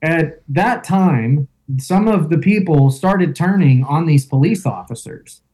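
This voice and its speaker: A man with a loud voice, a guest in an interview, telling a first-person account with fillers like "um" and "you know". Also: fairly high pitch, expressive, takes it slowly, poor audio. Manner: storytelling with fillers and reenacted quotes.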